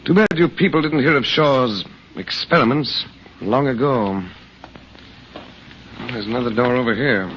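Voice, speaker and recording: An actor playing a resolute, grave investigator in an old-time radio jungle adventure. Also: male voice, medium pitch, moderate pace, pauses often, a bit bright, lightly acted. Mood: grim, investigative. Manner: decisive, grave, urgent. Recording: dull, noisy old radio recording.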